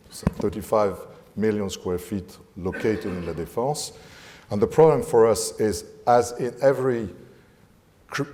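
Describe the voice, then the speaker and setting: A man with a French accent talks through a panel discussion, pausing often to find the English word. His voice sits low and mellow. It carries the long reverb of a conference hall. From France. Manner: halting, searching for English words.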